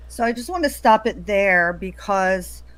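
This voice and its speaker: A woman's voice: mid-range voice, lively intonation, measured pace, a bit bright, no echo. A woman doing casual true crime commentary in a hesitant way, with many ums and uhs.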